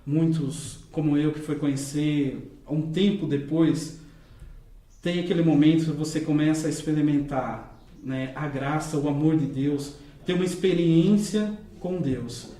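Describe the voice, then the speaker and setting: A man interviewing a deacon, asking gently and adding frequent tag questions. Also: fairly high pitch, measured pace, roomy sound. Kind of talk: religious interview.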